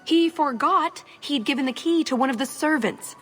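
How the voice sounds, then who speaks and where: A woman voicing a quick-witted, scheming young elf in a video game cutscene. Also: high voice, a bit bright, faint music behind. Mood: conspiratorial.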